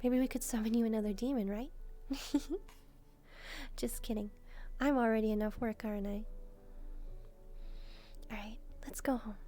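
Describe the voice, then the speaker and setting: A gentle young demon maid played by a woman speaks in a high, mellow voice with music clearly behind her. She is devoted and softly teasing, musing aloud to her master in an intimate video roleplay. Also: steady tone, moderate pace, pauses often, lightly acted. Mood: playful. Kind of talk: soft-spoken roleplay addressed to the listener. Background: background music.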